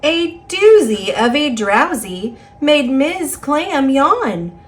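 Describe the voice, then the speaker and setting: A woman with an American accent reading a children's picture book aloud in an expressive, singsong rhyming delivery. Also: high voice, drawn-out words. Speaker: storybook reader.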